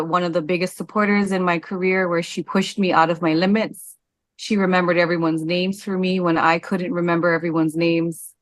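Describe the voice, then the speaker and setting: A woman in her forties talks openly in an interview, stopping often with an "um" as she thinks. Her voice sits a little low for a woman. The sound is dry and close, with no room around it. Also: no room sound. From Hawaii. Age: 40s. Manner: relaxed, candid, with frequent "um" pauses. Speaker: interview guest. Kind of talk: casual interview answers.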